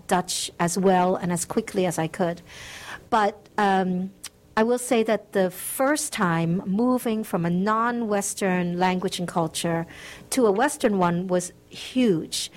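A woman's voice: mid-range voice, a little mellow. Middle-aged woman guest, earnest and reflective, interview answers. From United States. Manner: earnest, reflective, laughs lightly. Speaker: guest author.

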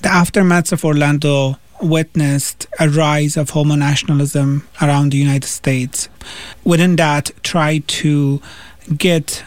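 Guy from afghanistan, non-native english, interview answers, highish even voice, dry recording. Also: unhurried, no echo. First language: not English. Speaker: interview guest, PhD student and activist. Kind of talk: thoughtful interview talk.

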